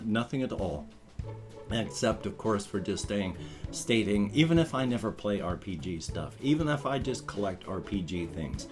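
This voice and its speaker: A middle-aged man with a fairly low voice talks casually to his viewers. He rambles and restarts as he reasons things through, like someone thinking aloud. Music plays clearly behind him. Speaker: vlogger, channel host.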